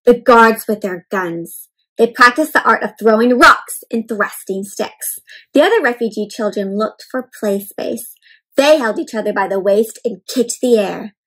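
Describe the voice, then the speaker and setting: A young adult woman reading a picture book aloud to children in an expressive, teacherly way, pausing to explain and ask questions. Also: on the high side, breathy edge, poor audio. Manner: expressive, emphatic, teacherly, slowing on key words. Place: indoor room at home.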